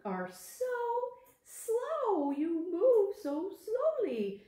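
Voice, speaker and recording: Lady reader doing a smug teasing hare from a kids fable, voice jumping up high, echoey room. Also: huge pitch swings, very slow, dark tone, full character voice. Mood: mocking. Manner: teasing, exaggerated emphasis. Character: mocking, boastful young hare in a storybook fable.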